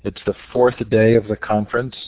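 A slightly hoarse man hosting an online education interview, upbeat, reading announcements with frequent fillers. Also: on the low side, little pitch movement, fairly quick. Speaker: host. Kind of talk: webinar interview introduction. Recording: tinny dry online call audio.

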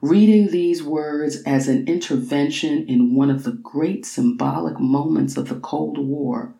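A woman reading literary, scholarly prose aloud in a measured, deliberate way, with expressive phrasing and drawn-out words. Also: sits low, vocal fry, reverberant. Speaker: reader of a book excerpt.

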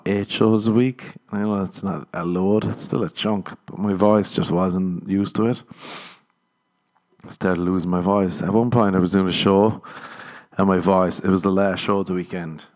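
A man's voice: deep voice, darkish tone. A man, a comedian hosting a podcast, rambling casually through stories, swearing and laughing at his own jokes. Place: living in Los Angeles.

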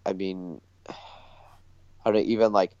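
A man's voice: deep voice, steady tone, a little slow, darkish tone, clean tone. A man co-hosting a podcast, chatting casually in tentative, stop-and-start phrases on a dull recording. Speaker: podcast co-host. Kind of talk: casual chat. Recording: dull, lo-fi, roomy echo.

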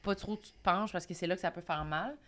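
Joking Quebec French woman host, bright. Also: fairly low pitch. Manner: joking, quick reactions and teasing questions. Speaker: podcast host. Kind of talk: casual chat.

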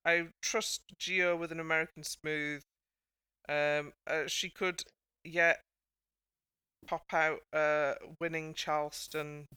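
A woman's voice: sits low, flat delivery, slow, sharp tone, smooth, no room sound, studio quality. A woman hosting a casual panel chat in a chatty, wry manner. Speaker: podcast host.

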